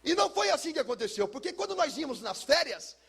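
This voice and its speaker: A Brazilian man speaking as a pastor, telling a personal testimony in an emphatic, theatrical way with deliberate repetition. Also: very high-pitched, sing-song, fairly bright tone. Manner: emphatic, theatrical, uses pauses and repetition for effect. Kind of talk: personal testimony, dramatic storytelling to listeners.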